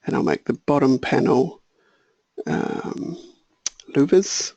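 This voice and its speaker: A man walking through a software tutorial, thinking aloud and correcting himself, a little hoarse. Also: medium pitch, slow pace, no echo. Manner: relaxed, thinking aloud, small self-corrections. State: slightly hoarse, clearing throat. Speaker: software trainer. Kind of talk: screen-share software tutorial.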